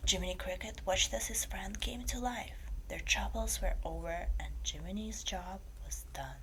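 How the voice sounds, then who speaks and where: A woman reading a fairytale as ASMR in a breathy whisper, slowly and deliberately. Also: medium pitch, fairly bright tone, slight background noise. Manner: slow, deliberate storytelling delivery. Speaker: ASMR creator and story reader.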